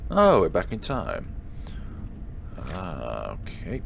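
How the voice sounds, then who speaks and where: A man's voice: low-pitched, wildly swooping pitch, slow, gravelly, a little hiss or hum. A man hosting a let's play, reading visual novel narration aloud and sometimes stumbling and correcting himself. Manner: stumbles over read lines and corrects himself.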